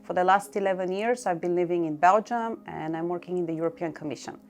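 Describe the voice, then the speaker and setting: A middle-aged woman from Bulgaria with a Bulgarian accent, explaining her work in a measured way and listing items one by one. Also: lowish voice, a little mellow. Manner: measured, lists items one by one with short pauses. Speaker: EU youth coordinator. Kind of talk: explanatory talk to camera. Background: faint background music.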